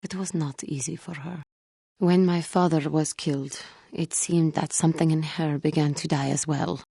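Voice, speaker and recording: Casting: female alien Jedi warrior for a game, earnest and wounded, low mellow voice with a husky grain, formal and measured. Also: steady tone, dry recording, studio quality, exaggerated voice. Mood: grieving. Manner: formal, measured, grave phrasing with few contractions. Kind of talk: video game character dialogue.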